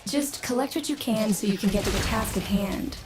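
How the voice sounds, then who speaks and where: A woman's voice: medium pitch, a little fast, loud music behind the voice, close to an everyday voice. A woman voicing a jaded, scornful scientist daughter in a video game, wry and condescending. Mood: dry, clinical. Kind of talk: scripted video game character acting.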